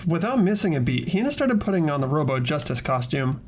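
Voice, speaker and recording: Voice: mid-range voice, big pitch swings, brisk, natural voice. A performer voicing an earnest young student protagonist who questions and narrates hesitantly in a fan-voiced visual novel. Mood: thoughtful. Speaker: voice actor in fan game playthrough. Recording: music under the voice.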